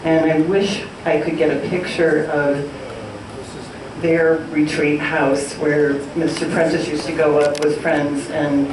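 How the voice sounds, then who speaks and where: A woman presenting old photos to a local group, chatting with many ums and pauses. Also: sits low. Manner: hesitant, many ums, fond. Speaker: presenter of a community history session. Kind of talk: casual storytelling to a group. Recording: echoey hall, distant mic, noisy.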